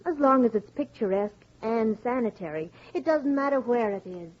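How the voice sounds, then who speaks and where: A gentle young wife speaks earnestly in a high, breathy voice. The style is vintage radio drama, and the recording is dull and muffled. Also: female voice, lively intonation, normal speed. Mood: cheerful, practical. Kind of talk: old-time radio drama acting.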